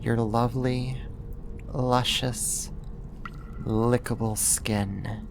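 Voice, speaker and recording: An actor playing an obsessive, possessive neighbor turned kidnapper in an ASMR roleplay, speaking in a deliberate, intimate, controlling way. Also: mid-range voice, fairly even intonation, creaky, background noise. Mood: seductive, possessive.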